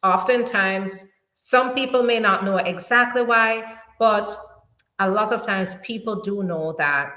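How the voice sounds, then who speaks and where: Jamaican-accented woman attorney, slow and echoey. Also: medium pitch, sharp tone. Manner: earnest, repeats and restates points. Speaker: immigration attorney hosting a live Q&A. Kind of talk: live-stream Q&A, explaining law.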